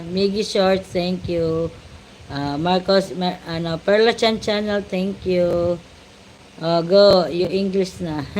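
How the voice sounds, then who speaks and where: A woman with a Filipino accent hosting a casual live stream, greeting viewers with repeated shout-outs and mixing Tagalog and English. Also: fairly low pitch, a little hiss or hum, rough recording. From Philippines. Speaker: livestream host. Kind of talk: casual livestream chat.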